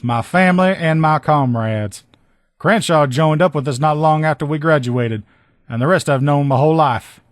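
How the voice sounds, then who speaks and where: A man's voice: fairly high pitch, dry recording, studio quality, lightly acted. A man voicing a loyal, salty lieutenant and armor-loving ex-soldier in a video game, talking in a casual, folksy, plain-spoken way. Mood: fond, earnest. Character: gruff, loyal post-apocalyptic sheriff's lieutenant, power-armor-loving ex-soldier. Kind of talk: casual, conversational game dialogue.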